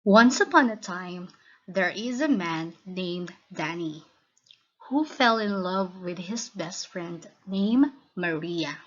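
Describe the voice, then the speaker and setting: A woman with a Filipino accent narrating a scripted lesson as a teacher, in a deliberate, instructional manner. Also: lowish voice, lively intonation. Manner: deliberate, instructional, checks with listeners. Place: recorded voice-over for slide lesson. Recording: dull, lo-fi voice recording.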